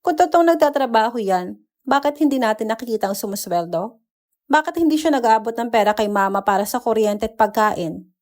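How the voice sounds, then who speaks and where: A woman's voice: fairly high pitch, expressive, brisk, darkish tone, natural voice. A woman voicing a catty young wife who complains and mocks in a whiny way in a dramatized story. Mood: mocking. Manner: complaining, mocking, wheedling. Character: catty, whiny young wife belittling her sister-in-law.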